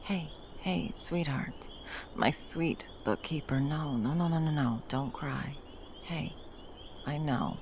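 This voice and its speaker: Girl voice acting a tough monster hunter lady, slow gravelly talk, intimate asmr roleplay, protective lover, some background noise. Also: low voice, a little mellow. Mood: soothing, worried. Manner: intimate, sweary, teasing and soothing endearments. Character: battle-hardened female monster hunter, violent yet tenderly protective lover. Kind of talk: ASMR roleplay, intimate scripted monologue addressed to the listener.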